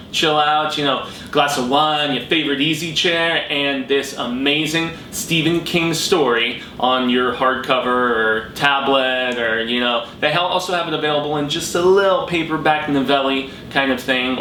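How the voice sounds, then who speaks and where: An American man talking casually as a vlog host, excitable, emphatic and rambling, with plenty of slang. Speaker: host of a horror fiction review channel. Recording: roomy echo, background noise and rumble.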